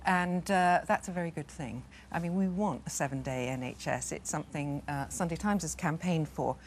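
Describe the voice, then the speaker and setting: A woman with a fairly low voice gives firm opinions as a guest on a television panel. She speaks casually and uses fillers as she argues her case. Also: normal speed, slight background noise. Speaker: newspaper review guest. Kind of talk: casual studio discussion. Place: television studio.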